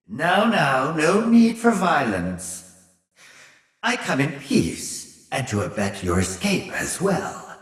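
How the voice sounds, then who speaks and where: An older mad scientist villain from a video game, gleefully condescending and sinister. His rather high voice swoops extravagantly through mock-courteous, drawn-out phrases. It rings in a roomy, echoing space. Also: very animated. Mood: condescending amusement. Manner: mocking, theatrically polite, drawn-out phrasing. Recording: roomy echo, distant from mic.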